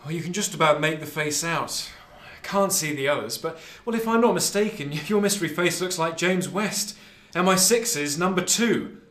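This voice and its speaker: A man's voice: on the high side, moderate pace, few pauses, a bit bright, reverberant, lightly acted. A man playing a vengeful, grieving secret agent in a radio drama, emphatic and given to swearing. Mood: wry, intent.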